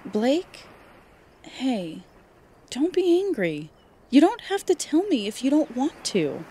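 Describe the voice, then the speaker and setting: A woman acts as a young woman with a slightly high, very animated voice. She is easygoing and caring, with gentle, casual, halting phrasing suited to audiobook dialogue. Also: slightly rough, exaggerated voice. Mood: reassuring.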